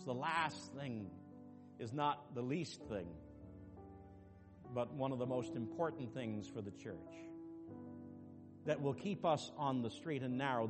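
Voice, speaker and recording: A man preaching a sermon as pastor, dropping to quiet asides and rising to loud, emphatic calls to the congregation. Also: mid-range voice. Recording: long reverb with faint music behind.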